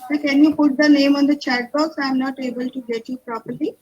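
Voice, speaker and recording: A woman from Bengal hosting a video meeting, quick and helpful. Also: high-pitched, fairly even intonation, a little mellow, lo-fi sound. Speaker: meeting host. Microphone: video call.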